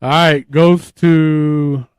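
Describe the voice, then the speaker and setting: Slow-paced, dry-sounding male podcast host. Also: on the high side, steady tone, clear, resonant tone, dry recording. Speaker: podcast host running a game. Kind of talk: casual banter.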